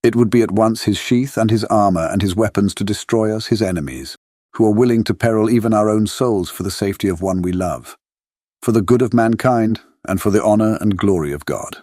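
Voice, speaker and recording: Male actor as older foreign vampire hunter, non-native English. Lowish voice, grave oratory, dry studio sound, gothic audiobook drama. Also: fairly quick, warm tone, no room sound, close to an everyday voice. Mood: solemn, fervent. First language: not English, speaks it with foreign grammar. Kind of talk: full-cast audiobook dramatic monologue.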